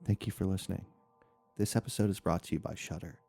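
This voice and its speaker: A male podcast announcer with a very low voice, full of gravelly creak. He delivers a scripted sponsor read in a dark, mellow tone. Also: quick pace, no echo.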